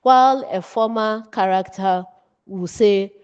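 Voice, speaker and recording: A Ghanaian woman delivers a lesson at a slow, deliberate pace. She breaks her teaching into short, emphatic phrases. The recording is dull and muffled, with long reverb around her voice. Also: mid-range voice, darkish tone, clear, resonant tone. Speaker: writing teacher. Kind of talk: instructional lecture from notes.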